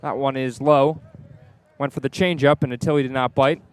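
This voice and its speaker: A man's voice: mid-range voice, fairly even intonation, normal speed, smooth. A man calling live baseball play-by-play on the radio from the ballpark. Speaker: play-by-play sports announcer. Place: outdoors at a baseball stadium.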